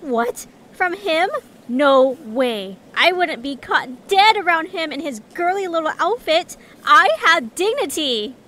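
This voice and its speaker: A woman's voice: extremely high pitch, expressive, takes it slowly, sharp tone, heavily acted. A woman playing a snarky, proud, hot-tempered young adventurer in an audio drama, sarcastic and scornful. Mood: disgusted.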